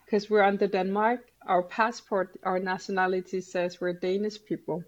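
A woman's voice: mid-range voice, a little mellow, dull audio. A woman from Greenland with a Greenlandic accent, an interview guest speaking earnestly and plainly about her home. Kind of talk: conversational interview.

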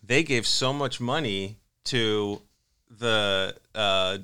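A man's voice: fairly low pitch, drawn-out words, pushed and bright, clear, resonant tone. A man with an American accent talking casually as a panelist on a talk show.

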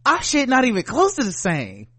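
An American man hosting a podcast, chatting casually and comedically with animated, profane riffing. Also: very high-pitched, moderate pace, breathy edge, no echo. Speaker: podcast host.

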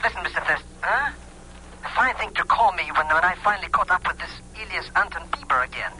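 Flustered, brash sidekick; high, swooping comic delivery, noisy vintage radio. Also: male voice, lively intonation, fast, bright, forceful tone, exaggerated voice. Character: excitable, slangy, comic male sidekick. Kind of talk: 1950s radio drama acting. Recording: noisy old radio recording.

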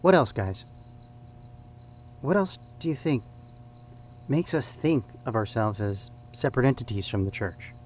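A man's voice: mid-range voice, lively intonation, a little slow, long pauses, darkish tone, lightly acted. Male actor as probing youth-group leader, mid-range voice, conversational questions, faint hum behind. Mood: earnest, probing.

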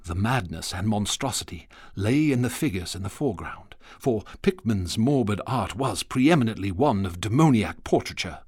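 A man narrating a radio drama in a grave, measured reading. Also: on the low side, expressive, darkish tone, husky, dry recording, studio quality. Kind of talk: scripted narration.

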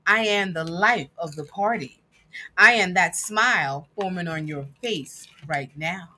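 A woman reading a picture book aloud with emphatic, dramatic phrasing and deliberate pauses. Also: fairly low pitch, sharp tone, slightly rough. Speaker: guest reader and producer.

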